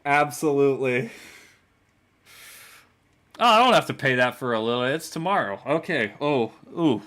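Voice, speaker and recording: A man in his twenties with a relatively deep voice, hosting a casual chat podcast and teasing his co-hosts with frequent laughter. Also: expressive, normal speed, fairly bright tone. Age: 20s. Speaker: podcast host.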